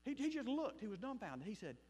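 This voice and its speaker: A man from South Mississippi with a Southern accent, preaching a sermon and telling his own story as a missionary pastor, shifting between loud bursts and hushed asides. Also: high voice, sing-song, fairly quick, slightly rough. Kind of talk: sermon with personal testimony. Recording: long hall reverb.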